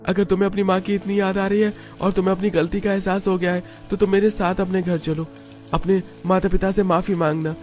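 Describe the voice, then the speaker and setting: A man voicing a worried, gentle young husband in a cartoon story, earnest and coaxing. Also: sits high, level tone, fairly quick, faint music behind, voice pushed up, close to an everyday voice. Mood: gently worried.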